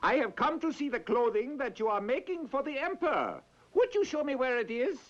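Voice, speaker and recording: A man's voice: extremely high pitch, very animated, brisk, music in the background, pitched above the performer's own voice. A man voicing a fawning, nervous court official who gushes to please, in a storybook tale. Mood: officious. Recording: dull lo-fi old tape.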